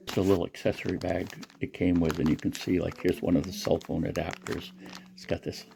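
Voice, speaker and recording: Deep-voiced man with a very creaky voice. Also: flat delivery, fairly quick, mellow. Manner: rambling, matter-of-fact. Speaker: presenter showing a secondhand gadget. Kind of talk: casual unscripted product demonstration.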